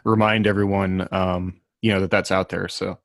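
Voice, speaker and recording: A man's voice: low voice, flat delivery, moderate pace, gravelly, no echo, clean studio sound. A man speaking as a co-host in a casual podcast chat. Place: video call group podcast.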